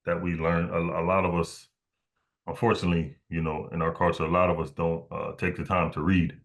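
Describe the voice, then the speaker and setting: A man with a low voice speaks as a podcast host. His casual interviewing is halting and meandering, with words often repeated as he works toward his point. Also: flat delivery.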